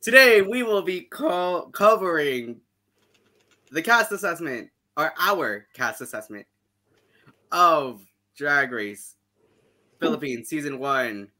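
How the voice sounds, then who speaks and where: Male podcast host, cheeky and theatrical, with a bright, crisp voice. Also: fairly high pitch, lively intonation, slow, pauses often. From Canada. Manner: playful, theatrical, cheeky intros. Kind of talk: casual podcast chat.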